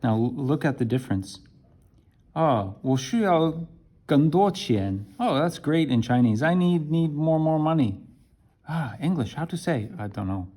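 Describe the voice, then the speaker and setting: A man teaching an English lesson to camera, talking slowly and deliberately and checking in with "right?". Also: a little high, animated, mellow. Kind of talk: explaining a language lesson to camera.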